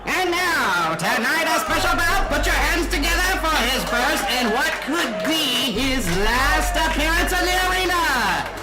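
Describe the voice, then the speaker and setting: A man's voice: very high-pitched, expressive, a little slow, few pauses. A man playing a showy, bombastic arena fight announcer introducing fighters in a radio serial. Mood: grand, hyped. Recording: echoing arena, loud crowd and music.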